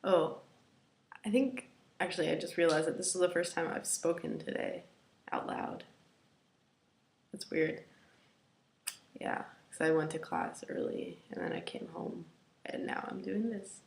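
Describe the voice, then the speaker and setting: A young woman with a raspy, gravelly voice sits at home and talks to the camera in a loose video diary. She rambles and hesitates, stacking fillers and sometimes sinking to a near whisper. Her voice is fairly low and rings around an echoey room. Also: measured pace, pauses often. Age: young adult. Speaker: vlogger. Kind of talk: casual personal video diary. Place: at home, indoors.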